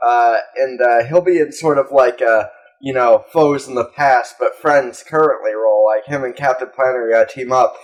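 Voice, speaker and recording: A man's voice: medium pitch, moderate pace, highs cut off. Man bantering casually on a comedy podcast. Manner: crude, irreverent joking. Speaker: podcast co-host.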